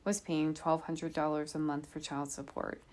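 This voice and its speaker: Woman podcast host, kinda low voice, telling a crime story off her notes, chatty w/ little asides. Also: level tone, moderate pace, a little mellow. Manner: informal, with rhetorical questions and asides.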